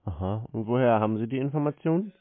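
A man's voice: medium pitch, expressive, quick pace. A man casually but curtly challenging callers, often answering with questions of his own. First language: German. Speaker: scam baiter taking calls. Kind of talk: casual phone conversation. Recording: lo-fi phone call recording.

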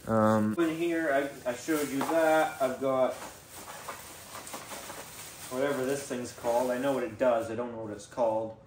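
Male DIY vlogger, mid-pitched, informal and rambling, thinking out loud, recorded in a roomy echoing space. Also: measured pace, long pauses. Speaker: DIY video host. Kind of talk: casual unscripted show-and-tell. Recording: echoey room, faint background noise.

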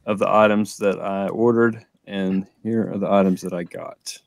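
A man's voice: low voice, steady tone, moderate pace, vocal fry, no room sound. A man co-hosting a podcast in casual conversation. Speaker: podcast co-host. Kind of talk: casual chat about collectibles. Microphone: close mic on a video call.

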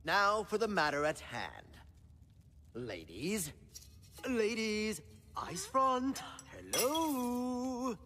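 Swooping, pompous cartoon official. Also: male voice, high voice, sing-song, extremely slow, bright, forceful tone, heavily acted. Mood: ceremonious. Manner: pompous, theatrical. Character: imperious, showy master of ceremonies, bossy and smug. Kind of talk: animated film voice acting. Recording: long reverb, faint music behind.

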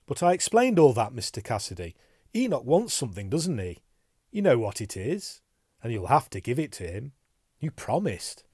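A man's voice: mid-range voice, sing-song, moderate pace, a little mellow, husky, no echo, studio quality, pitched above the performer's own voice. Horror story role: male reader as a simple-minded, devoted prisoner, insistent and earnest, slightly raised voice. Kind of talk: dramatic horror story reading.

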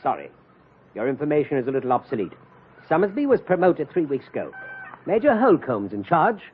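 An actor playing an indignant, well-spoken British agent in an old-time radio adventure, formal and self-assured. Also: male voice, fairly high pitch, sing-song, brisk, husky. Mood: accusing, assured. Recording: muffled, noisy vintage radio recording.

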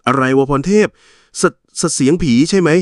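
A man voicing a greedy, easily frightened ghost hunter in a cartoon, who holds the camera and complains loudly. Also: a little high, moderate pace, dry recording, studio quality, close to an everyday voice. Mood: scared. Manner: cowardly, loud, complaining. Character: jumpy, greedy elder ghost-hunting cameraman. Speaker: cartoon voice actor.

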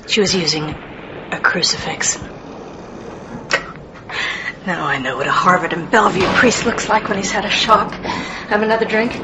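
A woman's voice: medium pitch, steady tone, normal speed, pauses often, noisy background, close to an everyday voice. A woman acting in a radio play as a distressed, frank and wry movie-star mother, confiding and hesitant. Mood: shaken, confiding.

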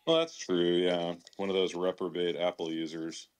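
A man's voice: low voice, flat delivery, normal speed, smooth. A man with a Chicago accent, talking bluntly and dismissively in a casual podcast discussion. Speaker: show regular.

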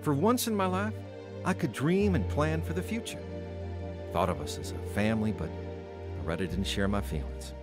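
A man's voice: mid-range voice, moderate pace, music in the background, close to an everyday voice. An actor playing a troubled, hot-tempered working man recounting his past in a dramatic radio play. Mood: brooding, regretful. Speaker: narrator and lead actor. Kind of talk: first-person dramatic radio narration and acting.

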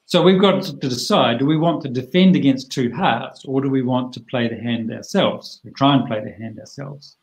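A middle-aged man teaching a bridge hand over a video call, plain-spoken and chatty with lively asides. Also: mid-range voice, moderate pace, hardly any pauses, poor audio.

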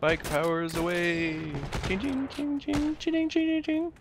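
A man playing a shooter with friends, bantering casually and excitably, repeating words and swearing. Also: very high-pitched, a little slow. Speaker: gamer, squad teammate. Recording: clear gunfire noise over voice. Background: game gunfire, artillery and clicks.

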